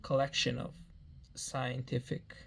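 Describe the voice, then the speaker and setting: A man's voice: medium pitch, monotone, slow, creaky, slight background noise. A man in his early thirties giving a meandering personal life update, often repeating himself and restarting phrases. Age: 30s. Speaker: vlogger.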